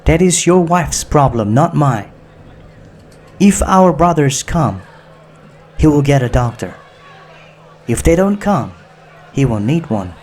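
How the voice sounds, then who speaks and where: A man voicing an angry, bitter twenty-year-old woman hijacker in an audiobook, speaking with slow, defiant emphasis. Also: a little high, lively intonation, darkish tone, pitched above the performer's own voice. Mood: mocking.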